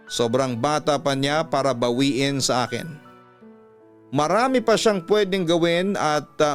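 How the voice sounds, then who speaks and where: A male radio host tells a scripted first-person story in a solemn, emotive way. His voice is smooth and clear, pitched a little high, with dramatic storytelling delivery.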